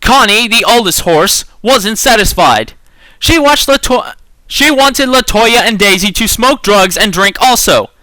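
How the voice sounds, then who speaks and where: A man's voice: high-pitched, lively intonation, unhurried, pushed and bright, no room sound, low-quality recording. A man giving an exaggerated, theatrical dramatic reading of a children's storybook. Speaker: amateur reader.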